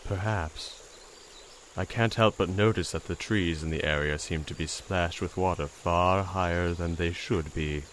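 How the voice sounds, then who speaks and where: A woman voicing a very deep, cryptic toad. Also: a little slow, slight background noise. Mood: dry. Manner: dry, formal, cryptic phrasing. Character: cryptic, formal talking toad sidekick.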